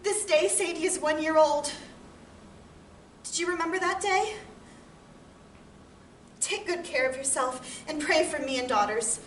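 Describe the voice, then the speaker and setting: A loving, anxious farm wife of the 1860s, played by a woman on stage, speaks with a high, earnest voice. Her phrasing is plain and old-fashioned, like heartfelt letters delivered as a theatrical monologue. The recording is roomy and distant, with some background noise. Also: measured pace, long pauses. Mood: troubled, longing.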